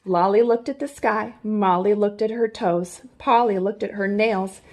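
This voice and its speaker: A woman reading a children's storybook aloud in an animated, theatrical way with expressive emphasis. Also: mid-range voice, measured pace. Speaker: storybook reader.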